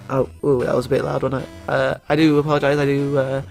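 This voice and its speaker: A man's voice: medium pitch, little pitch movement, fairly quick, gravelly, faint music behind. A young man with a cold and a stuffy voice, chatting casually through his picks with lots of fillers and restarts. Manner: rambling, many fillers and restarts. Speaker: YouTuber. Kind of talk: casual gaming commentary.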